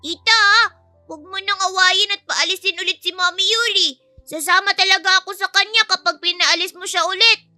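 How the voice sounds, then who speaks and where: A woman's voice: extremely high pitch, steady tone, normal speed, bright, forceful tone, faint music behind, pitched above the performer's own voice, full character voice. A woman voicing a tearful, indignant little child in an audio love story, wailing and pleading emphatically. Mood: indignant warning.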